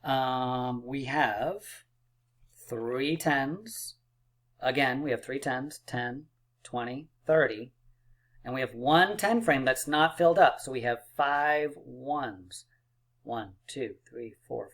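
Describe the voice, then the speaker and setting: A man's voice: mid-range voice, slow, a bit bright. Upbeat man teaching kids math. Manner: upbeat and encouraging, playful drum rolls and counting aloud. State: losing his voice, clearing throat. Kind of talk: math lesson video for kids.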